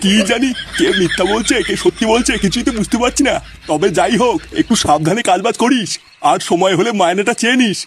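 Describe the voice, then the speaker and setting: Man doing a shrill, fast-talking grumpy cartoon dad. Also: a little hiss or hum, lightly acted. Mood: puzzled, advising. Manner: crude, nagging, exclamatory. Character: grumpy scolding middle-aged village father of a lazy son. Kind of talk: comic Bengali cartoon dubbing.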